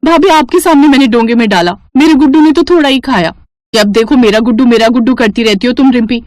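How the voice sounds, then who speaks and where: A woman's voice: a little high, quick pace, darkish tone, no room sound, clean studio sound, natural voice. A woman voicing a young daughter-in-law in a cartoon family story, defensive and whining. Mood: bickering. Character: young daughters-in-law, excuse-making and squabbling housewives. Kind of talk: Hindi moral-story cartoon voice acting.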